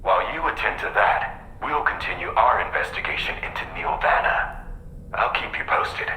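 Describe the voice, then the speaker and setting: A man's voice: very animated, moderate pace, sharp tone, exaggerated voice. A man playing a businesslike mission controller in a video game, speaking officially over a processed comms channel. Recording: echoing comms, faint rumbling noise.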